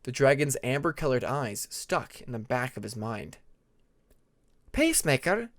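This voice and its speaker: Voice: medium pitch, normal speed, no echo. A narrator reading a fantasy story aloud as a scripted audiobook.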